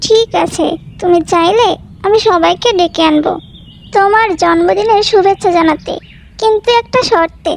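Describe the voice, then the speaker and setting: A woman's voice: very high-pitched, moderate pace. A woman voicing a small, kind, helpful bird in a children's cartoon.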